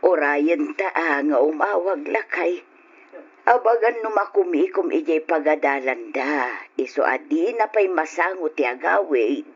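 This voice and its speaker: A woman's voice: low-pitched, expressive, measured pace, breathy edge, exaggerated voice. An actress playing a middle-aged wife gossiping with her husband, on a lo-fi old radio drama recording. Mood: gossipy.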